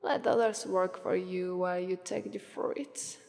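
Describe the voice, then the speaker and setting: A woman acts out a female demon of sloth with an Italian accent, aloof and coolly persuasive. She speaks very slowly in a deadpan, languid ASMR style, with a creaky edge, and her voice hangs in a long reverb. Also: lowish voice. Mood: languid, matter-of-fact. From Italy. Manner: slow, deadpan, unhurried, languid. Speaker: voice actress. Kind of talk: ASMR roleplay monologue.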